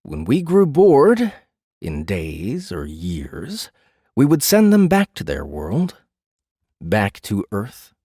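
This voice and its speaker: Slow, husky, haughty fae. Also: male voice, lowish voice, huge pitch swings, mellow, no room sound, studio quality, exaggerated voice. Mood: cool, cruel. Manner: slow, measured, brooding, disdainful. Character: weary, haughty male fae jailer in human guise. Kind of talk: audiobook drama acting.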